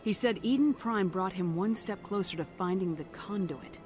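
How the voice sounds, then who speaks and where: A woman's voice: mid-range voice, darkish tone, faint music behind, natural voice. A woman playing a resolute military commander in a video game, speaking firmly and directly. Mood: serious, urgent. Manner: firm, measured, direct. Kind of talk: video game cutscene acting.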